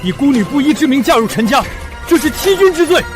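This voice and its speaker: High, indignant nobleman officer. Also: animated, fast, music in the background. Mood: pleading, accusing. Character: indignant, self-righteous nobleman officer, scheming husband. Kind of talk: period short-drama villain acting.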